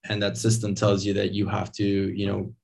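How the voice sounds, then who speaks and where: A man in his thirties, from Venezuela and raised in the States, chats as an interview guest. His voice sits low and stays fairly flat. He rambles with plenty of fillers and restarts. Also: moderate pace, vocal fry. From Venezuela, raised in Miami. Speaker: interview guest, digital nomad. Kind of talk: casual interview talk.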